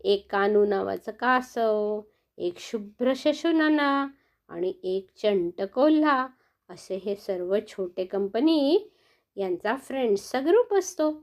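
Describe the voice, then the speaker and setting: A woman's voice: medium pitch, expressive, slow pace. A woman telling a bedtime story to children in a sing-song, coaxing manner, often asking them questions.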